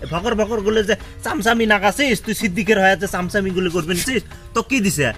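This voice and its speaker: A man playing a brash, boastful village election supporter, arguing in a northern Bengali dialect with loud rhetorical questions. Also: high voice, fast talker, soft background music. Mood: scolding. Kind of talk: comedy skit acting in village dialect.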